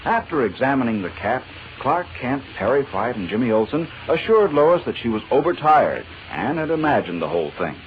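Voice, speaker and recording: A muffled, lightly hissy old radio recording of a man narrating. His delivery is dramatic and scripted, swinging widely in pitch in the manner of a 1940s serial announcer. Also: very animated, brisk.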